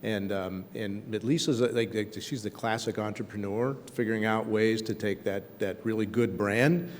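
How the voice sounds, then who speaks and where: A man's voice: lowish voice, fairly even intonation, moderate pace, long reverb tail, slight background noise. A man on a discussion panel, speaking with enthusiasm and praise and repeating his phrases.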